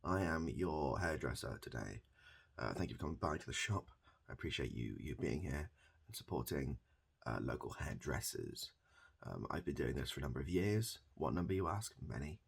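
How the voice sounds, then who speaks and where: Deep, gravelly, soft-spoken deadpan man. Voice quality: gravelly, husky low voice. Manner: rambling, deadpan, halting and repetitive. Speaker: ASMR comedy performer. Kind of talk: improvised softly spoken ASMR comedy roleplay as a hairdresser.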